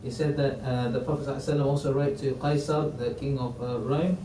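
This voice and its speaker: A man who is not a native English speaker teaching a religious history class in a mosque, halting with many restarts and quoting Arabic. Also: mid-range voice, steady tone, warm tone. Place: mosque hall. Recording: roomy, distant mic, faint noise.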